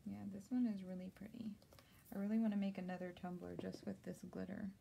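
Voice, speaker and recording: A young woman with a drawl talks through her crafting in a loose, chatty way, reading viewer comments and laughing easily. Her voice is mellow and full of vocal fry. A faint room hum sits under her, picked up by a camera above the desk in her home office. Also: mid-range voice, fairly even intonation, fast talker. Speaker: crafter doing a tumbler tutorial. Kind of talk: casual live-stream chat while crafting.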